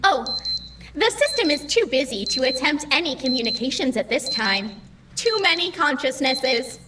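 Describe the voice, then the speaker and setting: Cheery afterlife computer lady, super formal and exact, high swoopy voice, roomy and a bit far off. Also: sing-song, moderate pace, noisy background. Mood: bureaucratic. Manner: formal, over-precise, cheerfully bureaucratic. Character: chipper female computer host of a digital afterlife, oblivious and officious. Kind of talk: scripted audio comedy drama acting.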